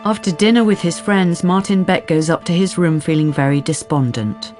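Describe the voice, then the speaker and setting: A woman's voice: lowish voice, normal speed, a little mellow, natural voice. Woman as composed narrator over light music. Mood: composed, wry. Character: composed, wry narrator of detective drama. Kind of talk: scripted narration.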